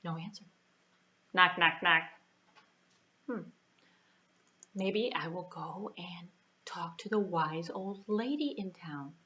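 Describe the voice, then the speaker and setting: A woman's voice: fairly low pitch, slow, pauses often, sharp tone, dull audio. A woman telling a puppet show story to young children, in simple phrases with spoken sound effects.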